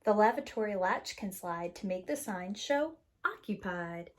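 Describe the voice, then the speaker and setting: Slow-paced woman reading a children's story. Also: mid-range voice, expressive. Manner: acts out sound words, rhythmic rhyming delivery. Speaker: preschool teacher reading a picture book aloud. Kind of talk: expressive children's story read-aloud.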